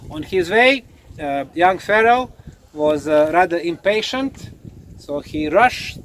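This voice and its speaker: High-pitched man lecturing over loud noise. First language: Bosnian. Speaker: lecturer and tour guide. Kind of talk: informal history lecture to tourists.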